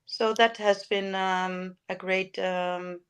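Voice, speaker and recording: A woman's voice: mid-range voice, little pitch movement, slow, clear, resonant tone. Older female interview guest, native Danish speaker, mid-range voice, casual and somewhat hesitant delivery. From Denmark. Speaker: podcast guest, lifestyle coach.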